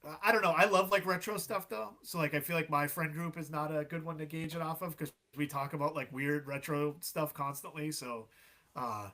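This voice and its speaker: A man in his thirties, a guest on a podcast, chatting in a relaxed way with frequent laughs and fillers. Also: a little high, brisk. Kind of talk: casual chat.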